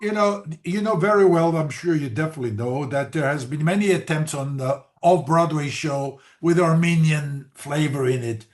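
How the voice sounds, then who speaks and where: This is a man conducting a TV interview with two actors. His voice sits high for a man. He heaps on praise and stumbles through his questions with repeated "uh". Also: normal speed, hardly any pauses. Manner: hesitant, effusive questions. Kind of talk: interviewing guests.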